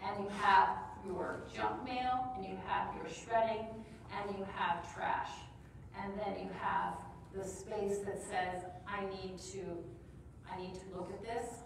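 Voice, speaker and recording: A chatty, blunt woman in her sixties gives practical advice to a room of listeners. She is picked up from a distance. The conference room is very echoey, with steady noise behind her. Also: mid-range voice, creaky. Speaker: speaker on decluttering. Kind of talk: casual talk to a live audience. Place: conference room, standing before the audience. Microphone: far room mic.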